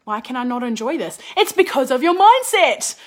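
A young woman, a business and mindset coach, talking in a chatty, animated way with a slightly croaky voice after a cold. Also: high-pitched, moderate pace. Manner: chatty, animated, says "like" often. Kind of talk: casual podcast monologue.

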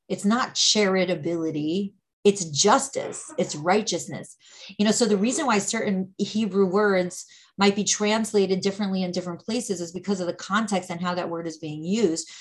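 A woman teaching Torah, explaining ideas patiently in a conversational way with frequent restarts. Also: on the low side. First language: English.

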